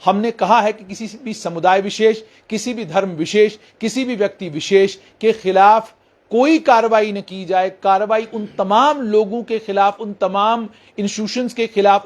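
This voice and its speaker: A man explaining news directly to viewers as a reporter, emphatically repeating phrases. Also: high-pitched.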